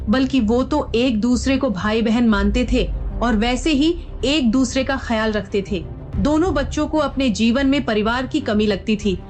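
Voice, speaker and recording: A woman's voice: fairly high pitch, darkish tone. A woman narrating an audio drama in a scripted storytelling style.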